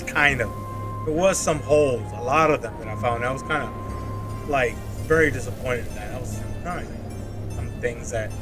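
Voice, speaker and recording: Guy streaming an old game, chatty rambling voice, music playing loud behind him. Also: medium pitch. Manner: rambling, thinks aloud with restarts. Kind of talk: casual commentary while playing.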